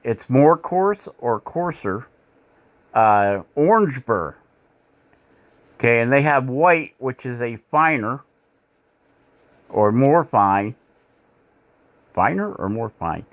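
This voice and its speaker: Middle-aged guy from michigan talking over his carving footage, folksy and rambly, lots of uhs, plain mid-pitched voice. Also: very animated, takes it slowly, pauses often, highs cut off. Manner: rambling, self-deprecating, folksy, many "uh" fillers. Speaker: woodcarving channel host.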